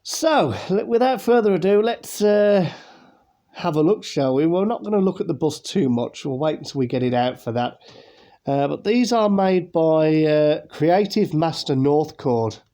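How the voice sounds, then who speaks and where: A man talks through an unboxing in a loose, rambling way, full of ums and restarts. His voice is mellow and dark, and sounds nasal and blocked from a cold he is getting over. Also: a little high, expressive, a little slow. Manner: chatty, rambling, many fillers and restarts. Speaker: YouTube unboxing host. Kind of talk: casual unboxing commentary.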